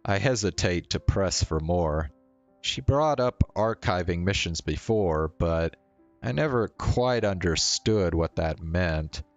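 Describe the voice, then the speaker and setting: A man reading a visual novel aloud as a streamer, in measured narration with the odd stumble. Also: sits low, expressive, highs cut off. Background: faint music.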